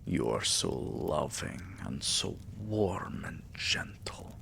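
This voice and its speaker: A man playing a tender, possessive vampire king in an intimate ASMR roleplay, murmuring soothingly and affectionately in a breathy, gravelly half-whisper. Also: very deep voice, very animated, takes it slowly, a bit bright, full character voice. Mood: loving, earnest.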